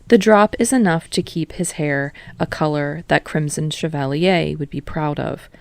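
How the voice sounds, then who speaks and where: Lady reading an audiobook, lowish voice w some fry, really dry recording. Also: normal speed, no echo. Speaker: audiobook narrator of fan fiction. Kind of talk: scripted story narration.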